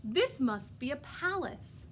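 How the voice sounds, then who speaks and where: A small toy bear voiced by a woman reading aloud speaks in a fairly high voice. The storybook bear is innocent, wistful and easily amazed. There is some noise behind the voice. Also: sing-song, slow, heavily acted. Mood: wondering. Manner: naive, wondering.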